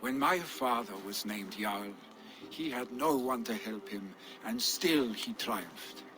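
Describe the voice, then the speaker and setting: A man's voice: mid-range voice, animated, slow. An actor playing a restless, earnest young Viking man in a video game. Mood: wistful. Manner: earnest, boyish, emotional. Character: restless young male Viking jarl's son.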